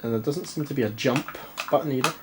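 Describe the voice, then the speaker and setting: Scottish guy, stuffy nose, chatty and self-mocking, mid pitch, faint hiss in the room. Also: quick pace. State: stuffy, congested. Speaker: retro game vlogger. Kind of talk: casual chat. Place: dim living room at a TV.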